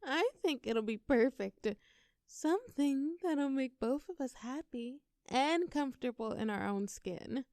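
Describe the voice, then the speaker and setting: Teasing, creaky-voiced girlfriend, acted by a woman. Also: high-pitched, expressive, measured pace, a little mellow, no echo, studio quality. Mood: playful, affectionate.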